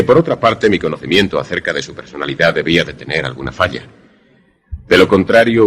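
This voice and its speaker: An actor in a suspense radio drama playing a courteous former pupil, speaking in a formal, literary manner. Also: male voice, extremely low pitch, husky, full character voice. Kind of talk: radio drama narration and dialogue.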